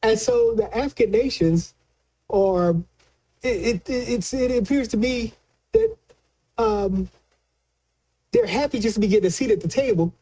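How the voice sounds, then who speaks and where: A man giving conversational political commentary as a news analyst, colloquial and halting, often repeating words as he explains. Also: high voice, dark tone, poor audio.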